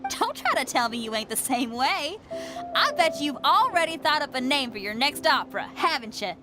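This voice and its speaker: An actress voicing a spirited young female musician in a video game, folksy and excitable. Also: high-pitched, normal speed. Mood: playful, eager. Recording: prominent music under the voice.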